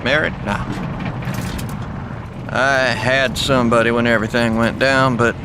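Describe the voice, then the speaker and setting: A folksy Southern man played by an actor in a post-apocalyptic audio drama, laid-back and plainspoken. His voice sits at an average male pitch. A loud engine rumbles behind every word. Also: little pitch movement, a little slow, noisy background, close to an everyday voice. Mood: subdued. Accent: American South. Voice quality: folksy, easygoing manner. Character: laid-back, plainspoken Southern survivor driving an armored truck. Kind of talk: naturalistic audio drama acting.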